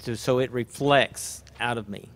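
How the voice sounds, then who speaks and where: Soft-spoken hesitant man. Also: medium pitch, unhurried. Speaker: church member. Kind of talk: panel comments.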